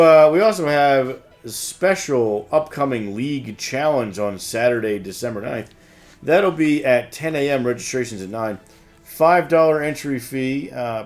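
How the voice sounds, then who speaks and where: Male co-host, informal promotional announcer with easygoing banter, mid-pitched voice, music underneath. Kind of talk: promotional store news with banter.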